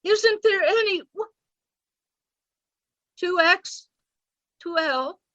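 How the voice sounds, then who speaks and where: A woman playing a lone radio operator in a radio drama, repeating call signs in a pleading, halting way over a dull, distant radio sound. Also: very high voice, pushed and bright, full character voice. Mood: desperate.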